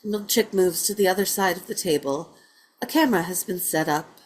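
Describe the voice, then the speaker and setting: A woman's voice: lowish voice, brisk, husky, poor audio. A woman narrating scripted stage directions for a drama.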